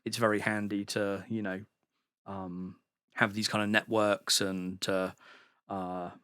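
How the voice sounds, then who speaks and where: Bright-voiced man from the UK. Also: lowish voice, level tone, slow, clean tone, dry recording, clean studio sound. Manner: rambling, with many fillers and restarts. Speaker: podcast guest. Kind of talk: casual chat. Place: sauna house.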